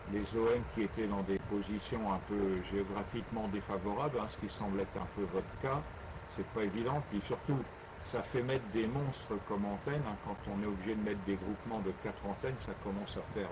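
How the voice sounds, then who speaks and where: A man, an amateur radio operator, chatting casually and colloquially in speech, with frequent tag words. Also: lowish voice. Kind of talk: casual ham radio chat. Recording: dull lo-fi radio link, loud noise.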